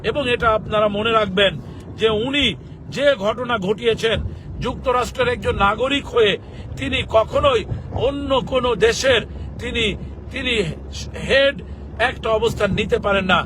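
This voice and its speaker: High-pitched Bangladeshi man over loud street noise. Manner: emphatic, halting short phrases. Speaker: political activist and legal expert. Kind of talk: political address to followers. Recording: loud outdoor urban traffic noise.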